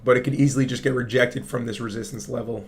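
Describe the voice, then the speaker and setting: A man with a raspy voice hosting a casual crypto livestream, explaining charts to viewers and often checking in with "right?". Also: mid-range voice, steady tone, quick pace. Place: home studio. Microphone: studio mic.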